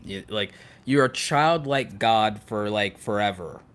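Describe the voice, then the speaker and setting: A man's voice: fairly low pitch, measured pace, a bit bright, smooth. A man chatting casually as a podcast co-host, riffing playfully and repeating himself for emphasis, with some swearing. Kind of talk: casual chat about fictional universes.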